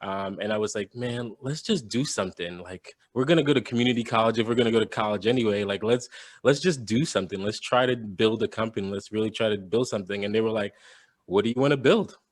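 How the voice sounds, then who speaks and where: A man's voice: lowish voice, quick pace. A young adult man from Jamaica, a podcast guest telling his story casually, with many fillers and restarts.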